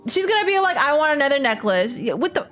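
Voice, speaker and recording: A woman's voice: sits high, big pitch swings, fast talker, a bit bright, soft background music. A young adult woman streaming a story game, giving casual, slangy reaction commentary with exclamations and repeated phrases.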